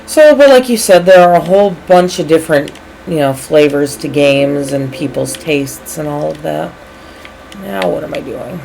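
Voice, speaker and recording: A woman's voice: deep voice, lively intonation, normal speed, vocal fry, noisy background. A woman from the Chicago area with a Chicago accent, chatting casually as she co-hosts a game stream. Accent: Chicago American. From Chicago area, United States. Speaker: co-host of a video game stream, English teacher. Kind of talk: casual chat while playing a game.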